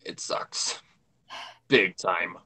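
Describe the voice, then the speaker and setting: A man's voice: low voice, drawn-out words, sharp tone. A man joining a livestream as a guest over an online call, chatting casually and repeating himself as he rambles.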